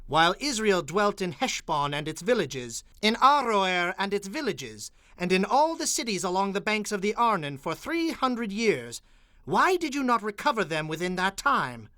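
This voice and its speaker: An ancient Israelite commander and father in a radio-theatre Bible production. His delivery is declamatory and formal, with a lively, sweeping pitch range. The tone is bright and forceful, recorded close and dry. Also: moderate pace, no echo, full character voice. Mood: indignant, forceful. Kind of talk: dramatized audio Bible acting.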